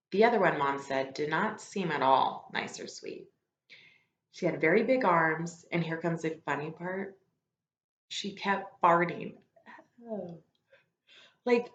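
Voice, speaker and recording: A woman reading a story aloud like a teacher to students, expressive and informal, with casual asides. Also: fairly low pitch. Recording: echoey room, slightly dull lo-fi sound.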